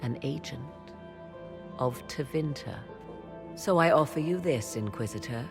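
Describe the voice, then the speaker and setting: An actress playing an enigmatic, haughty sorceress in a fantasy game, speaking in formal, archaic phrases with a sly, measured manner. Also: female voice, rumbling low, expressive, over music. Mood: guarded, formal. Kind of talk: fantasy video game dialogue acting.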